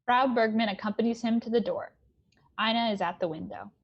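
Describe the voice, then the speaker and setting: A woman's voice: a little high, brisk, highs cut off. A woman reading stage directions as short scripted narration for a play reading.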